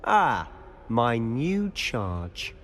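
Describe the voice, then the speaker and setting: Rich: A charming young wizard student. His lively, bouncing delivery stays polished and playful. A long echoing reverb trails his voice. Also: medium pitch, extremely slow, exaggerated voice.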